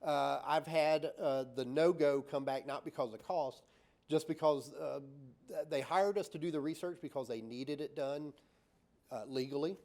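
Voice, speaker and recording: A man's voice: on the high side, normal speed, gravelly, a little mellow. A man, a designer on a panel, answering questions in a loose, filler-heavy way, often ending points with "right?" and acting out examples. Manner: many fillers and restarts, tag "right?"; explains with acted-out examples.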